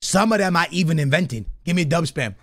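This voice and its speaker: Man in his 30s, higher-pitched, lively swings, slangy stream banter, emphatic, close mic. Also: fairly quick, dry recording. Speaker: streamer hosting a football reaction stream. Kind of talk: casual reaction commentary. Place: small indoor streaming room. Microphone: close studio microphone on a boom arm.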